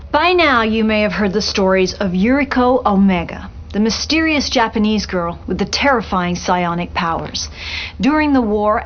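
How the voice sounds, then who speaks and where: A woman playing a polished television reporter in a video game, delivering a grave special report in a measured, articulate way. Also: medium pitch, dull audio, lightly acted. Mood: intrigued, dramatic.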